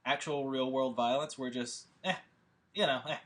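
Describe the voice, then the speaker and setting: A man speaking informally to an audience as a host and writer, in a rambling, animated and emphatic way. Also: mid-range voice, normal speed. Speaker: host and scriptwriter introducing a table read.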